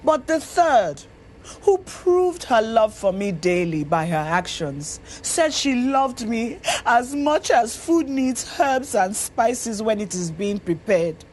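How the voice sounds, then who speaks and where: A woman's voice: a little high, big pitch swings, darkish tone, a little hiss or hum, low-quality recording. Woman acting a tearful, remorseful old father, drawn out. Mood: regretful. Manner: tearful, emphatic, drawn-out confession. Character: sorrowful, remorseful old father confessing his mistake.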